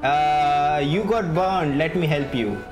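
A man doing casual playthrough commentary as a gaming streamer, halting as he thinks aloud. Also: a little high, poor audio. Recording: game music behind voice. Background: video game music.

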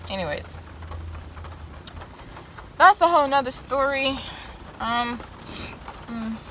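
A woman's voice: high voice, lively intonation, slow, a bit bright. Young woman rambling in a noisy van. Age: young adult. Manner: rambling, chatty, talks to viewers. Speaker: vlogger. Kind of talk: casual vlog. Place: inside a parked van on a rainy day. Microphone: handheld phone camera.

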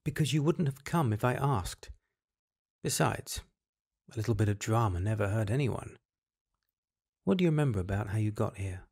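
A man's voice: lowish voice, expressive, moderate pace, creaky, pauses often, a little mellow, slightly rough, full character voice. An actor playing a secretive, controlling male lighthouse keeper in an audio drama. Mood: coolly probing.

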